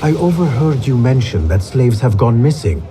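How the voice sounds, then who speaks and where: An actor playing a calm, watchful young male assassin in a video game, speaking in an even, measured way. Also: medium pitch, background noise, natural voice. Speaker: game protagonist.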